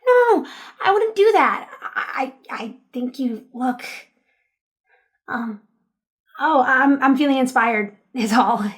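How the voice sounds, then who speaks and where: A woman's voice: high-pitched, animated, slow, a bit bright, exaggerated voice. An actress playing a sheltered but defiant, tender teenage girl in an audio drama, with an earnest, hesitant manner. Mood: flustered. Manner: hesitant, earnest, stammering when flustered.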